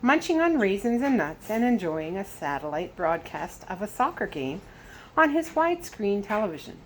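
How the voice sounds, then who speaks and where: A woman reading a children's adventure novel aloud, expressive with the dialogue. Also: mid-range voice, normal speed, rough recording. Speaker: story reader. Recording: clear background noise.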